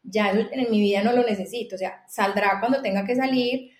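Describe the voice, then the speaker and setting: Colombian woman, earnest and quick, echoey room. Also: mid-range voice, darkish tone. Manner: fast, earnest, many restarts. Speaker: guest, company founder. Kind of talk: casual conversation.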